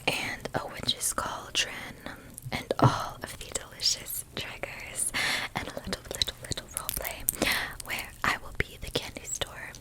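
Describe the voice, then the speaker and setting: Female ASMR host, breathy whisper, unhurried, playful rhythmic repetition. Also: rough recording. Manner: playful, repeats words and phrases rhythmically. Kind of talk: ASMR, whispered chat to viewers.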